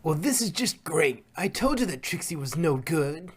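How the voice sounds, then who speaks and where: A man's voice: on the high side, sing-song, normal speed, husky, pitched above the performer's own voice. A man voicing a brash, scornful young female cartoon pony filly, blunt and cocky.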